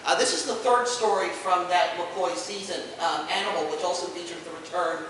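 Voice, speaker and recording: A man giving an informal panel presentation to an audience, an enthusiastic explainer who speaks haltingly with many asides. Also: sits high, fairly bright tone. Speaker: convention panelist presenting audio drama releases. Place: indoor convention panel room. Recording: echoey room from far off, noisy.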